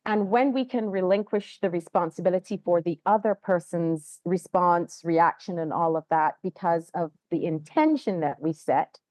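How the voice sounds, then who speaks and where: A woman hosting a podcast who reflects back her guest's points in a warm, affirming way. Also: lowish voice, moderate pace, hardly any pauses, no room sound. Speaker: podcast host. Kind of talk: podcast conversation.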